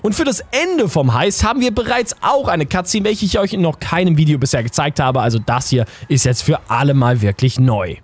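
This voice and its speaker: A man's voice: on the high side, lively intonation, a little fast, hardly any pauses, a little hiss or hum. A man giving enthusiastic, informal gaming news commentary straight to his viewers.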